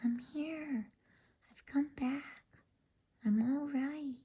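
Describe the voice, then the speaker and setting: A woman voicing a kind, polite little girl with a gentle, earnest whisper in an ASMR bedtime story. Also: high-pitched, very slow, voice pushed up. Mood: excited. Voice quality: whispered, breathy.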